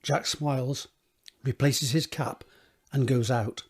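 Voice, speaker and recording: Husky male drama narrator. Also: mid-range voice, fairly even intonation, takes it slowly. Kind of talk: scripted narration of stage directions.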